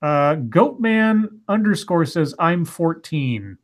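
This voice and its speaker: A man in his late thirties co-hosting a casual online chat show, reading viewer comments aloud with dry, deadpan reactions. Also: on the high side, slow pace. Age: late 30s.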